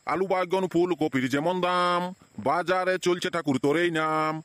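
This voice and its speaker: Man doing a high-voiced droning cartoon priest, Purulia accent. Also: fairly even intonation, moderate pace, fairly bright tone, dry recording. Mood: mock chanting. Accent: Purulia Bengali. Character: lazy, shameless comic village priest, man. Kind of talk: comedy cartoon dialogue with mock chanting.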